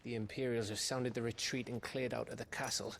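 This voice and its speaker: A wry, loyal outlaw scout in a fantasy video game. He has a British accent and a medium-pitched voice, talking in a cheeky, colloquial, chatty way. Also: flat delivery, a little fast, creaky, fairly bright tone, a little hiss or hum. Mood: brisk reassurance.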